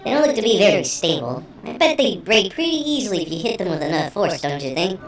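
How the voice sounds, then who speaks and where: Mischievous female imp, a man doing the voice, teasing, sing-song hints, swooping pitch, distant, echoey. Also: very animated, fast, soft background music.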